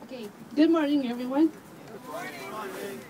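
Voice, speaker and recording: Earnest woman, soft mellow voice. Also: high-pitched, animated, measured pace, a little hiss or hum. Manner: hesitant, many fillers and restarts, earnest. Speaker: refugee advocate speaking at a rally. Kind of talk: rally speech. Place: outdoor rally in a park.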